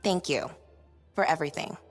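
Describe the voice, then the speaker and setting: A woman's voice: sits low, moderate pace, in a large hall, faint music behind. A woman voicing a spirited, headstrong young woman adventurer in a video game. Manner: lively, headstrong.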